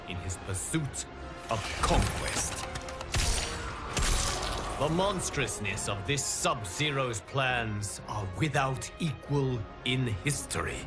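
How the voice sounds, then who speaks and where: An actor playing a solemn guardian of timelines, narrating a video game story in a formal, measured, dramatic manner. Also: male voice, medium pitch. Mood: grave, urgent. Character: solemn male guardian of timelines addressing his champions. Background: music.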